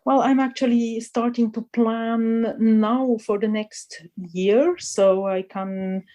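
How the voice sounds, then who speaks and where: Casting: unhurried woman guest, mellow, soft tone, thoughtful and halting. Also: a little high, steady tone. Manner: thoughtful, halting, laughs often. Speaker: magazine editor guest. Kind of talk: casual interview chat.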